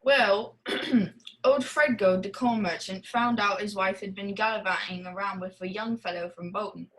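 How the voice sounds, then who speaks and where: A woman's voice: mid-range voice, steady tone, moderate pace, a bit bright, close to an everyday voice, low-quality recording. A woman playing a blunt, gossipy country innkeeper in a radio play, matter-of-fact and folksy.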